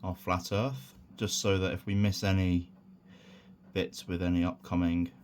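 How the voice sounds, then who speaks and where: A man with a croaky voice from a sore throat, talking through a hobby tutorial in a relaxed, rambling way with many fillers. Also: low-pitched, unhurried, slight background noise. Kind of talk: casual hands-on tutorial.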